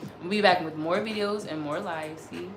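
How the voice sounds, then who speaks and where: A woman's voice: on the low side, fairly quick. A woman of about thirty chatting casually on a livestream as its host, reading and answering comments in an earnest, effusive way, repeating herself as she goes. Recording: roomy echo, music behind the voice. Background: music.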